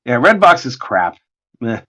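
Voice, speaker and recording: A man with a hoarse voice, chatting casually and joking as the host of a livestream while he draws, excitable and swearing freely. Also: mid-range voice, measured pace. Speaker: artist hosting a livestream. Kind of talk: casual chat while drawing.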